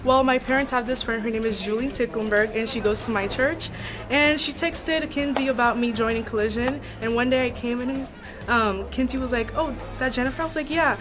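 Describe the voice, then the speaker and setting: Teenage girl, from Togo, French mother tongue, slightly high voice, informal student testimonial, music behind. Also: a little fast. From Togo, West Africa.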